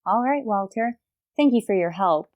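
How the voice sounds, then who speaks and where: An actress playing a wry, quick-witted high school teacher in an old-time radio comedy, arch and polite in manner. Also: female voice, animated, darkish tone, highs cut off, a little hiss or hum, close to an everyday voice. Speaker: lead actress.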